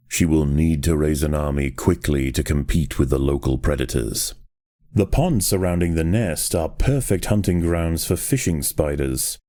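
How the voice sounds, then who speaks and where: An actor playing a measured, authoritative nature documentary narrator for a video game. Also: male voice, low-pitched, dark tone, no room sound, studio quality.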